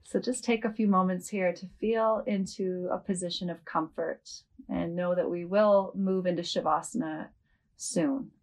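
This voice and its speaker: A woman leading a guided yoga nidra meditation as a host, speaking gently and without hurry, with long pauses. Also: lowish voice, fairly even intonation.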